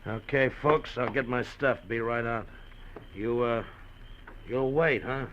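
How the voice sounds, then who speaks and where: An actor playing a smug, threatening small-time gangster in a 1950s radio drama, talking low and slow. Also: male voice, fairly even intonation, noisy background, lightly acted. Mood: curt. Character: menacing male small-time gangster, middle-aged. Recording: muffled 1950s radio broadcast.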